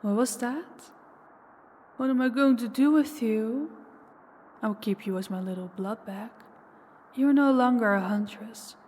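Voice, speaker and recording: A woman's voice: a little high, a little slow, pauses often, a little mellow, breathy edge, long reverb tail. A woman playing a menacing, possessive ancient female vampire in an intimate audio roleplay, taunting and commanding, sometimes dropping toward a whisper. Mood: commanding, cold threat. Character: possessive, menacing older female vampire, toying with captive huntress.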